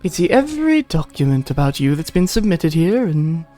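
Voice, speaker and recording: A streamer voicing a mocking, sinister male digital villain who talks in slow taunts and drops to whispers. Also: medium pitch, sing-song, dark tone, faint music behind, natural voice. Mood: manipulative. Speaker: streamer voicing a visual novel.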